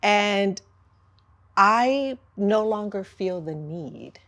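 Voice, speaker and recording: A woman on a discussion panel, speaking in measured, deliberate phrases with slow emphasis. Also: medium pitch, animated, a bit bright. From first-generation business owner.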